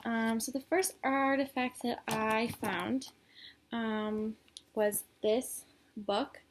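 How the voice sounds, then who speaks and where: A woman's voice: a little high, fairly even intonation, slow pace, slight background noise. A young woman from Illinois, a college student whose first language is Polish, giving a class presentation with many ums and restarts. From Illinois, United States. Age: young adult.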